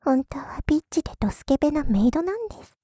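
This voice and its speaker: A woman playing a coy, secretly lewd maid in an intimate adult ASMR roleplay, teasing her master in a breathy voice that often drops to a whisper. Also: sits high, big pitch swings, fast talker, mellow, no room sound, clean studio sound. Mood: mock apologetic, sultry.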